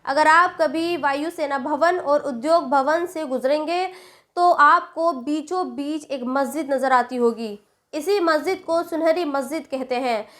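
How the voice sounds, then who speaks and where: Woman, high voice, scripted news commentary, explanatory, direct address. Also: a bit bright. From India.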